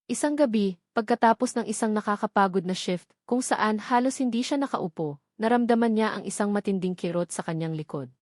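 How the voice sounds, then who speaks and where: A woman's voice: mid-range voice, a little fast, a little mellow, no room sound. Woman narrating a story, dry close sound. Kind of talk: scripted dramatic story narration.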